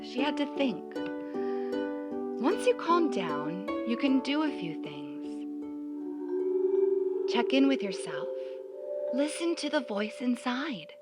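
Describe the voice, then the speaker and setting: A woman tells a children's story with dramatic delivery. Her voice is a little high and very animated in pitch, aimed at young listeners, with music playing underneath. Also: takes it slowly, pauses often. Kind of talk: expressive children's story reading. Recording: music behind the voice.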